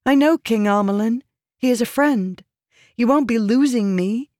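A woman's voice: a little high, normal speed, darkish tone, no room sound, clean studio sound, voice pushed up, close to an everyday voice. A woman voicing a pleading, calculating noblewoman in an audiobook, intense and feminine. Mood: calculated pleading. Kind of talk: audiobook character dialogue.

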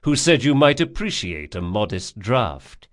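Man playing a smooth, courteous gentleman, low-voiced. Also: very animated. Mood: courteous, flattering. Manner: polite, formal, deliberate. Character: courteous, smooth-talking male client, formal and guarded.

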